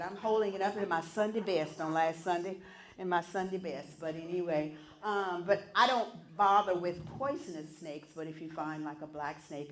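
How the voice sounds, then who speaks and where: A woman, chatting folksily with an audience of elders and coaxing them to join in. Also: lowish voice. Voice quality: loud voice. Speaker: event entertainer leading songs and games. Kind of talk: casual talk to an audience of elders. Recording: echoey room.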